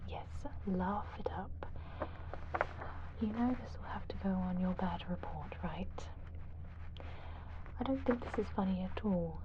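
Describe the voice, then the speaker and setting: A woman playing a chatty, bossy vampire lab worker who trains a newcomer, speaking in a soft whisper as ASMR roleplay. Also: mid-range voice, measured pace, long pauses, muffled recording, background noise, close to an everyday voice. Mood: stern, disappointed. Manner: softly spoken, instructive, conversational.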